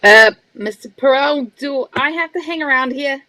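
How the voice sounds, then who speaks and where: Casting: woman as eager young lad, very high, forceful and bright, blunt radio-play driver. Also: normal speed, no room sound, rough recording. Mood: reluctant. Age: young adult. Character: Johnny, eager young male driver and messenger. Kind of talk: live reading of old-time radio mystery script.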